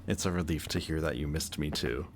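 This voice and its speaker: A man acting a possessive, anxious antihero and devoted best friend in an emotional, halting audio roleplay addressed to the listener. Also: rumbling low, slight background noise. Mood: tender, nervous. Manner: halting, hesitant, earnest.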